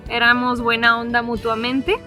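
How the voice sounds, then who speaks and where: A young Mexican woman in her twenties talks to camera in a relaxed, chatty way, full of little fillers and quick laughs. Her voice sits a bit high. Music plays clearly underneath her. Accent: Mexican Spanish. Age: 20s. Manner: chatty, laughs easily, loose storytelling with fillers. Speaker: travel vlogger. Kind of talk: casual sit-down chat to camera. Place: seated indoors facing the camera.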